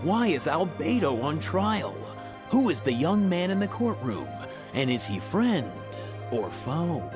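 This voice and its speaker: A woman doing scripted narration and presenting for a program. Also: deep voice. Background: music.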